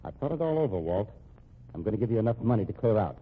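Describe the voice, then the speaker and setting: A man with a husky voice playing a stern, respectable husband in an old-time radio drama. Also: lowish voice, big pitch swings, fast. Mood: tense, pleading, sarcastic. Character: stern, accusing, respectable husband standing up to threats. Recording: tinny, narrow-band old radio recording.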